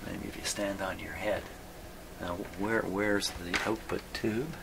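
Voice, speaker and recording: Male repair-video host, very low creaky voice, unhurried and halting, talking himself through bench work over clear background noise. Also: fairly even intonation. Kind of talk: unscripted hands-on demonstration, thinking aloud. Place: radio repair workshop.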